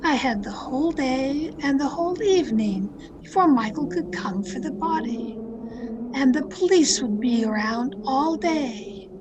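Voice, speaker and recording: A woman's voice: high-pitched, expressive, a little slow, noisy background. A woman playing a thrill-seeking young woman who narrates her murder tale with dramatic, breathless intensity in old radio suspense style. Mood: tense. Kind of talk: 1940s radio suspense acting, scripted first-person narration. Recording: video-call radio play with music underneath. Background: dramatic music.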